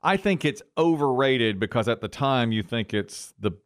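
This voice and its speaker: Man, middle-aged, medium pitch, casual conversational chat, podcast co-host, dry recording. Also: animated, normal speed, clear, resonant tone, no room sound. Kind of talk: casual sports and culture chat.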